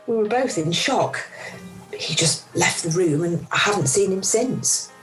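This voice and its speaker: A woman's voice: fairly low pitch, lively intonation, measured pace, rough recording. A middle-aged woman playing a weary, emotional mother who confides wryly in a radio play, recorded over a video call. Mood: shaken, confiding. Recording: amateur video-call recording with music behind.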